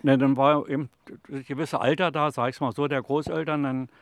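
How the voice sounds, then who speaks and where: A retired man in his seventies answers interview questions, telling stories in a calm, plain, rambling way. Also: medium pitch, brisk, dry recording. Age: 70s. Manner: calm, plain, rambling storyteller with fillers like "also" and "eben". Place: outdoors in a city allotment garden.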